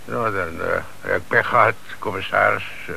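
A man's voice: lowish voice, normal speed, gravelly, sharp tone, exaggerated voice. A man acting in a radio play as a sly, mocking car dealer and small-time crook, chuckling, falsely polite and evasive. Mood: slyly evasive. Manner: feigned politeness, jovial evasiveness. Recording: hissy old radio play recording.

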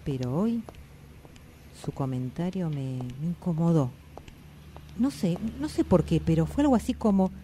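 A woman's voice: on the low side, animated, measured pace, pauses often, mellow, a little hiss or hum. A woman acting an anxious, introspective character in a radio drama monologue, confiding and dramatic, with a Buenos Aires accent. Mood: troubled. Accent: Argentine, Buenos Aires. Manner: confiding, dramatic, reflective with colloquial swearing. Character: anxious, introspective woman haunted by always running late.

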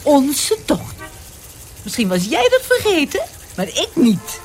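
An actress playing a suspicious, aggrieved older wife who complains and accuses, in a radio play. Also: female voice, high voice, wildly swooping pitch, unhurried, a little hiss or hum, heavily acted. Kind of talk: Dutch radio play acting.

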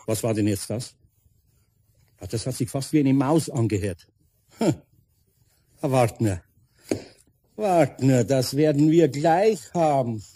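Older Bavarian craftsman, radio play: husky, warm, grumbling but good-natured, bemused. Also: medium pitch, takes it slowly, pauses often. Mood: muttering, determined. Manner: muttering to himself, bemused, expressive. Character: grumbling, good-natured older master carpenter living alone. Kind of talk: German children's radio play acting.

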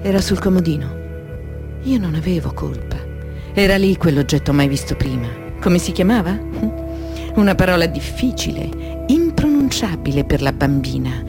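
Intense, low-voiced defiant woman. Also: lively intonation, moderate pace, creaky. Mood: pained remembering. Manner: intense, emotive, broken into short dramatic phrases. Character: defiant, anguished Sicilian woman turned informant against the mafia. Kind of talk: dramatic radio theatre monologue. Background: music.

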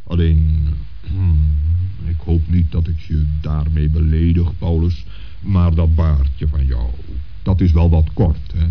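Kindly old bishop saint, really low voice, stately n patient, kids radio play, muffled old tape. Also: male voice, level tone, husky, full character voice. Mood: reassuring. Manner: measured, reassuring, stately. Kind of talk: children's radio play acting.

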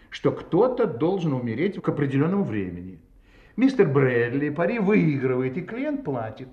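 Courteous police inspector, very lively intonation. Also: male voice, a little high, reverberant, dull audio. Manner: polite, deliberate, pointed. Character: courteous, methodical, insistent male police inspector. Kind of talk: audio drama acting, interrogation.

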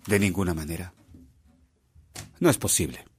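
An actor playing a stern, unyielding bishop who speaks with curt authority in a radio drama. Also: male voice, low-pitched, normal speed, a little mellow, lightly acted. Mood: curt refusal.

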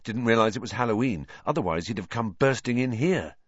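A man's voice: medium pitch, lively intonation, brisk, dry recording, lo-fi sound, lightly acted. A man voicing an easygoing, blunt teenage boy who talks in a casual, offhand way.

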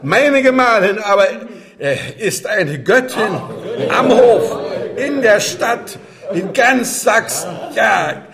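An actor playing a boastful, drunken finance minister in a radio drama, talking in a grandiose, effusive way. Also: male voice, sits high, animated, slow, vocal fry, full character voice. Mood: tipsy boasting. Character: boastful tipsy finance minister praising his wife. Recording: noisy banquet crowd behind.

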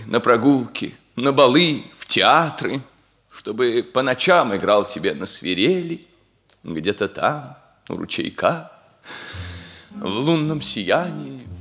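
An actor playing a flustered, smitten middle-aged army major in a 1960s radio comedy, with a theatrical, drawn-out delivery. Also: male voice, on the low side, a bit bright, slightly rough, full character voice. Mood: teasing, persuasive. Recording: 1960s radio play, music behind.

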